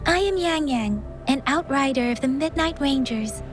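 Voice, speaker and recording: A woman playing a composed, observant young military scout in a video game, polite and measured. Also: high voice, animated. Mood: calm, watchful. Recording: music playing behind the voice.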